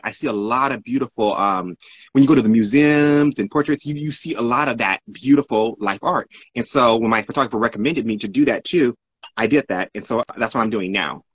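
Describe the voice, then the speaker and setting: A man tells his story casually as an interview guest. His medium-pitched voice has a husky edge, and he wanders and restates himself as he goes. The sound is close and dry, with little room. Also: fairly bright tone, no echo. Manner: rambling, self-correcting. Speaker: interview guest, art model.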